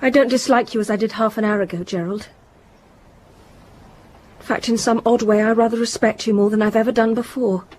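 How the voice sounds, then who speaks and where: A woman's voice: fairly high pitch, steady tone, a little fast, long pauses, a little mellow, slight background noise, close to an everyday voice. An actress playing an outspoken, disillusioned young upper-class woman in a period radio drama, with candid, pointed delivery. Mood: candid, hurt.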